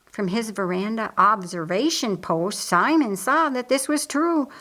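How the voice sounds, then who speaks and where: A woman's voice: fairly high pitch, unhurried, a bit bright. Woman narrating a dramatic story. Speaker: audiobook narrator. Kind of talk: expressive narration of biblical historical fiction.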